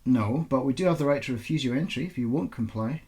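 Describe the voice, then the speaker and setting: Audiobook bit part: squat, officious customs man acted by a male reader, brisk patter, creaky edge. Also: medium pitch, a little mellow, lightly acted.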